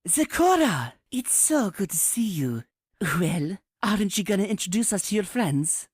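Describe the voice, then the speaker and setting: A woman voicing a lively, friendly and teasing female pony in a cartoon comic dub. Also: medium pitch, moderate pace, slightly rough, no echo, studio quality, voice pushed up. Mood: excited. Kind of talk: fan comic dub voice acting.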